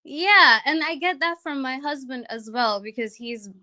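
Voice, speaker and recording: A woman's voice: high-pitched, lively intonation, moderate pace, pushed and bright. Chatty Kenyan woman caller. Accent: Kenyan, sounding American to listeners. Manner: chatty, frequent ums, emphatic asides. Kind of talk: casual call-in chat.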